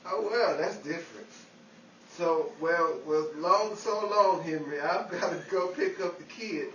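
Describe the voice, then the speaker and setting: A man playing a brash, excitable fellow in an old-time style radio comedy. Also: high-pitched, measured pace, recorded from a distance, dull audio. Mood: breezy. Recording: echoey room, off mic, faint noise.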